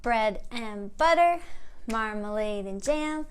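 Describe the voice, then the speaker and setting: Slow, higher-voiced woman hosting children's storytime. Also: lively intonation, slight background noise. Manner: addresses young children in simple encouraging phrases. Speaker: children's storytime host at a library. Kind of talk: children's storytime with songs and picture-book read-aloud.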